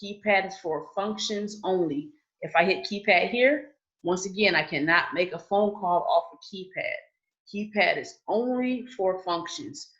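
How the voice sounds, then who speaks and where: A woman's voice: on the low side, a little slow, fairly bright tone, muffled recording. A woman giving an online training session in a casual, chatty way, often checking in with "okay?". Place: indoors, on a video call. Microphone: video call.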